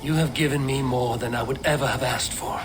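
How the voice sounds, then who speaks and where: An actor playing a steady, honorable Norse warrior leader in a game, measured and earnest. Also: male voice, medium pitch, background noise, natural voice. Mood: calm. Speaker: Viking protagonist Eivor.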